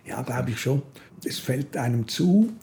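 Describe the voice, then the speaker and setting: An older man with a Swiss German accent, telling anecdotes in a casual, animated way, repeating himself as he goes. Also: medium pitch, a little slow, slight background noise. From Switzerland. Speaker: interview guest. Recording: music behind the voice.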